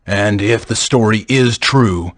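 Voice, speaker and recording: A man's voice: on the low side, takes it slowly, a bit bright, no room sound, clean studio sound. A man voicing a stern, proud, distrustful commander in a video game, formal and resolute. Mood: stern, doubtful. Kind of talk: dramatic video game voice acting.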